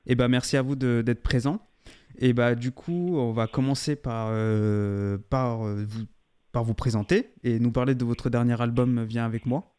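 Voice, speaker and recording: A young man hosting a local radio interview, polite and halting, often repeating himself. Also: medium pitch, fairly even intonation, mellow, clear, resonant tone. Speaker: radio host.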